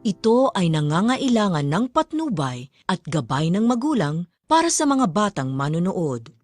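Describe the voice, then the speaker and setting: Female announcer, slightly low, animated scripted advisory over loud music. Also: moderate pace.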